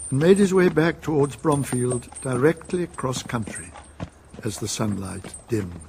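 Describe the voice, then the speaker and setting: Narrator for radio drama: male, mid-pitched, deliberate and unhurried, with clear background noise and effects. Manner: measured, deliberate storytelling.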